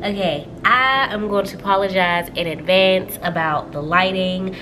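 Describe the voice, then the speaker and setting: A woman's voice: medium pitch, moderate pace, poor audio. Stuffy-voiced woman chatting over music. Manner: chatty, laughs often, drawls y'all. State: stuffy from a cold. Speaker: budgeting vlogger. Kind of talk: casual chat while working in a planner. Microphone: camera overhead on a desk.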